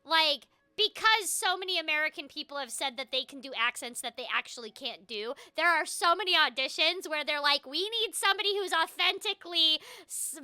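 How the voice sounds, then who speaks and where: A woman's voice: very high-pitched, sharp tone, no room sound. A woman voice actor hosting a casual stream, chatting loosely with frequent restarts and a noticeable glottal stop, on a headset mic at home.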